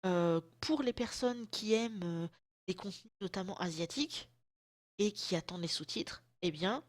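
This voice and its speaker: A woman in her thirties chats to her viewers in loose, slangy, self-mocking talk, her throat still a bit sore from a lingering cold. Her voice sits at an ordinary middle pitch. The sound is close and dry, with almost no room around it. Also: dry recording. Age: 30s. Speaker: vlogger. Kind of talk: casual chat to camera. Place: at home, indoors.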